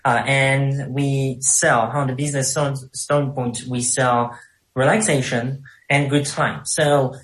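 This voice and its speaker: A man's voice: medium pitch, steady tone, takes it slowly, a bit bright, poor audio. A man with a thick French accent, a business co-founder and guest answering interview questions eagerly, repeating and restarting his words.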